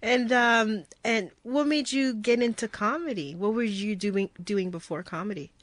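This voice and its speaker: A woman's voice: fairly high pitch, moderate pace, no echo. A woman hosting a casual interview, bubbly and laughing often as she asks questions. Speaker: podcast host.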